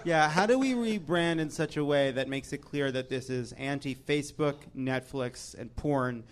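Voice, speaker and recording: A man's voice: on the high side, moderate pace. An American man hosting a live comedy panel show, joking in a wry, sarcastic way. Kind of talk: joking panel chat before a live audience.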